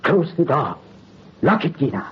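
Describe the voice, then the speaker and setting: An actor playing a dying old French count, vengeful and commanding, in formal theatrical old-time radio horror style. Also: male voice, fairly high pitch, sing-song, unhurried. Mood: grave, solemn. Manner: theatrical, archaic formal diction. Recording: muffled, noisy old radio recording.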